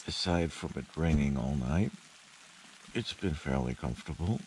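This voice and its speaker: A man's voice: very deep voice, animated, normal speed, a little mellow, a little hiss or hum. A man in his thirties, filming himself at a campsite and chatting plainly to the camera about the weather and his plans. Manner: plain, matter-of-fact commentary to camera. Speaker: vlogger. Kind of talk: casual camping vlog. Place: campsite, in a tent and out in a snowy field. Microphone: handheld action camera at arm's length.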